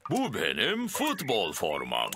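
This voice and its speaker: A man voicing a jovial, easily flustered father pig in a children's cartoon, expressive and blustering. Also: lowish voice, slow pace, very noisy, exaggerated voice. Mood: cheerful, blustering. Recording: prominent cartoon music behind.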